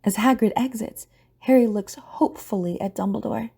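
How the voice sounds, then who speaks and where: A female narrator with a mellow, husky voice that sits a little high. She reads scripted scene descriptions for an audio drama. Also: moderate pace.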